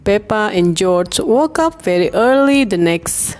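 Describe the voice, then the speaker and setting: Female storybook narrator, unhurried and animated, medium pitch, soft music bed behind. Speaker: storybook reader. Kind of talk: slow children's bedtime storybook narration.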